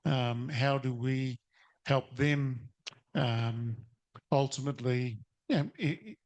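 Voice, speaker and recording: A man's voice: medium pitch, slow, darkish tone. A man, a teacher asking a long, hesitant question at a conference, full of fillers and restarts. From New Zealand. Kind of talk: audience question at a seminar. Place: seated in a conference or webinar room.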